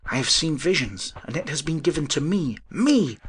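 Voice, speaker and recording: A man's voice: mid-range voice, normal speed, a bit bright, slight background noise, lightly acted. A man voicing a young idealistic inventor in a storybook reading, impassioned and breathless with emotion. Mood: reverent rapture. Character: young idealistic male inventor, exultant and fervent.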